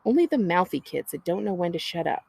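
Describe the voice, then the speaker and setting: A woman plays a bossy teenage older sister in a children's storybook. She scolds with impatient, sardonic exasperation, in a creaky, husky voice recorded dry. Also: on the low side, big pitch swings, fast, a little mellow, no room sound, exaggerated voice. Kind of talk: children's storybook character reading.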